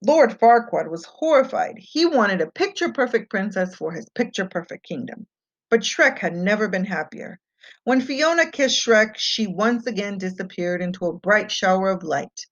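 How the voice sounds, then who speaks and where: A woman's voice: mid-range voice, lively intonation, normal speed. Woman reading a storybook, slightly muffled. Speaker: storybook reader. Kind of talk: scripted children's read-aloud narration. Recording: muffled, dull lo-fi home recording.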